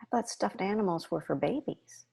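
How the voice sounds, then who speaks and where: A woman reading a gentle, caring mother in a sitcom script. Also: mid-range voice, lively intonation, moderate pace, creaky, husky, exaggerated voice. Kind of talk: script table read.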